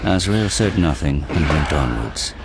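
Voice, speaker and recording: A man's voice: a bass voice, expressive, unhurried, noisy background. A man giving grave, intense scripted narration for an audio drama. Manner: intense, grave, literary delivery. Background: prominent music and sound effects.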